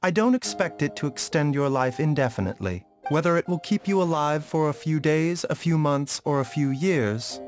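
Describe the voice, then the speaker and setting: A man playing a calm, courteous, clinically curious doctor with a measured, formal, gently wry manner in a video game. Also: fairly high pitch, faint music behind, lightly acted. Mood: composed, curious.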